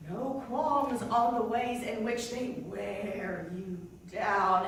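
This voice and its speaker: A woman performing a comedic theatrical monologue, flustered and halting, often interrupting herself. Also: high voice, fairly bright tone, a little hiss or hum. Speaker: solo stage performer. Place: indoor performance space before an audience. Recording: echoey stage hall, distant microphone.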